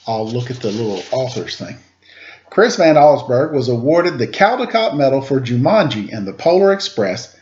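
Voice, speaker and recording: A man's voice: medium pitch, darkish tone, dull audio. A man speaking in a playful, informal storytelling style for children, into a computer microphone. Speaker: storyteller, host reading picture books aloud. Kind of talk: casual chatty introduction and expressive read-aloud for children.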